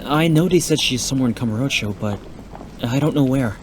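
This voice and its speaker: A man's voice: mid-range voice, a little fast, lightly acted. A man acting as a polite young hero with a mid-range voice and an easy, casual delivery. It is fan audio drama dialogue with faint noise behind. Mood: reassuring. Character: calm, reassuring young man, hero of martial arts drama.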